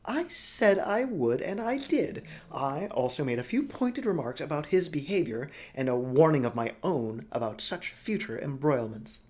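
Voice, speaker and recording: A man's voice: a little high, sing-song, normal speed, few pauses, darkish tone, voice pushed up. A man voicing a flamboyant, snide, teasing character who manages a city, in a storybook reading with mocking exclamations. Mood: snide, exasperated.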